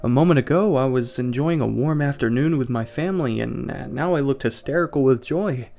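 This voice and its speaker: A man acting an anguished family man in a fiction podcast, narrating in the first person with halting, emotional delivery. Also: mid-range voice, vocal fry, a little mellow, soft background music, lightly acted. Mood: breathless wonder. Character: anguished family man trapped in frozen time. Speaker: narrator and lead actor in an audio drama.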